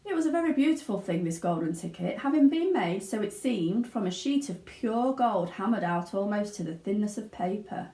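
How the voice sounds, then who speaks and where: A woman giving an expressive narration of a children's storybook. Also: medium pitch, normal speed, few pauses. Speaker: teaching assistant reading aloud. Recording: roomy indoor echo.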